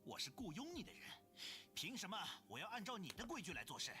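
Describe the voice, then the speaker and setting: A woman's voice: medium pitch, very animated, fast, bright, forceful tone, soft background music. A woman playing a haughty, greedy, argumentative expedition client in a video game, indignant and entitled. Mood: defiant. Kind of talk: anime-style video game voice acting.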